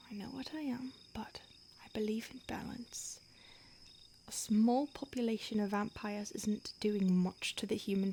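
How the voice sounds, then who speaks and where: A woman voicing a starved, conflicted female vampire who stalks and craves her listener, speaking in a soft intimate whisper. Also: mid-range voice, creaky, slight background noise. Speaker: audio roleplay performer. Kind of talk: whispered audio roleplay.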